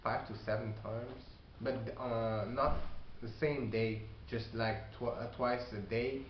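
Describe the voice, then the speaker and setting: A man who is not a native English speaker, giving casual advice on learning a language, talking haltingly with many fillers and restarts. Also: medium pitch, fairly even intonation. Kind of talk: casual video response. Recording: echoey room, dull lo-fi sound, faint hiss.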